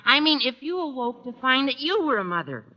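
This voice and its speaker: An actor playing an eager, bouncy teenage schoolboy with a squeaky adolescent voice in a 1940s radio sitcom. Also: male voice, big pitch swings, a little fast. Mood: puzzled. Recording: dull, reverberant vintage radio recording.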